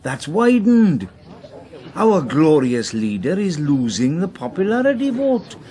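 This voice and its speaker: A shrewd welsh politician in a radio play, lectures everyone, voice swoops up and down a lot. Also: male voice, a little high, sing-song, measured pace, warm tone. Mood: scornful lecturing. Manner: opinionated, lecturing. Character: shrewd, scornful Welsh party politician, fond of rhetoric. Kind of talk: radio drama acting.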